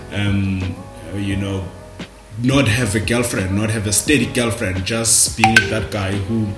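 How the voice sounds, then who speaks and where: A South African man talking casually and reflectively to camera, halting and repeating words as he goes. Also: fairly low pitch, roomy sound. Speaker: vlogger. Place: indoors, dim room at night.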